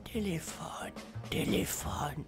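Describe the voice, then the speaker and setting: A man voicing a small, frail, homesick movie alien with a breathy croak. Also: takes it slowly, whispered, music in the background, close to an everyday voice. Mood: plaintive.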